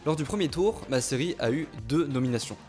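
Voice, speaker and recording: Male video creator, quick scripted delivery, music bed underneath. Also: on the high side, animated, darkish tone. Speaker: video creator, audio fiction author. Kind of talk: scripted vlog narration.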